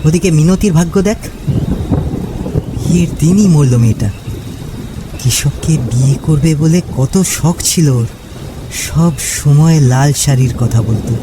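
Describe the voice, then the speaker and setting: A man's voice: a little high, a little slow, long pauses, lightly acted. A man voicing an anxious, inquisitive young village friend in a cartoon ghost story. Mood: uneasy. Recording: clear rain and thunder noise behind.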